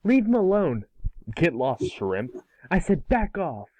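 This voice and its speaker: A man reads as a young cartoon schoolboy hero. He is impatient and brash, whining in a fairly high male voice. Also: big pitch swings, unhurried, mellow. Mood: defiant. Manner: whiny, brash.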